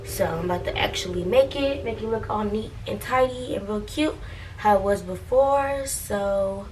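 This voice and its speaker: A young woman vlogger with a raspy, hoarse voice as she loses it, chatting loosely to camera from her bedroom, full of "like" and "um". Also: medium pitch, moderate pace, slight background noise, low-quality recording. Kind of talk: casual chat to camera.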